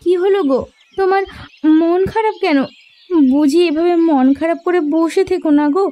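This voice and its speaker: A woman's voice: extremely high pitch, normal speed, warm tone. A woman voicing a devoted, stubborn young village housewife in a cartoon, with a pleading, emphatic delivery. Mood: concerned. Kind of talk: Bengali fairy-tale cartoon dubbing. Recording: music bed under the voice.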